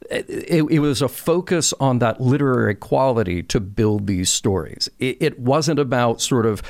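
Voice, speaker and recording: A man gives enthusiastic commentary as a podcast guest. His voice sits at a medium pitch, and he leans on repeated phrases for emphasis. The recording is close and dry. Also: expressive, moderate pace, a little mellow, no room sound. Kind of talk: conversational commentary.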